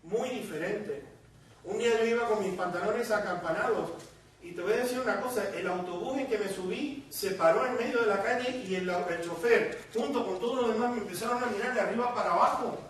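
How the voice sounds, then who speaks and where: A man with a Puerto Rican accent gives a sermon, his voice pitched high and carrying through a very echoey hall. The mic sits some way off, with faint noise underneath. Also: a little fast, recorded from a distance. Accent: Puerto Rican Spanish. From Puerto Rico. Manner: storytelling, acting out quoted voices. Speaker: preacher. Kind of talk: sermon with personal testimony.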